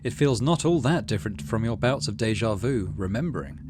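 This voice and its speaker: A middle-aged man doing let's play commentary, muttering asides and reading game narration aloud in a measured storytelling way. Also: lowish voice, lively intonation, noisy background. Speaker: gaming video host.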